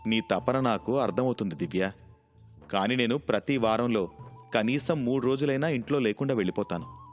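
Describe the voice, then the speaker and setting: నటుడు పోషించే వాదించి నచ్చజెప్పే మధ్యస్థ స్వరపు భర్త. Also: male voice, medium pitch, normal speed, in a large hall, highs cut off, soft background music, close to an everyday voice. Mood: reasoning, impatient. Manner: reasoning, dismissive. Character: practical, reluctant husband and family breadwinner. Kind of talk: moral story dialogue acting.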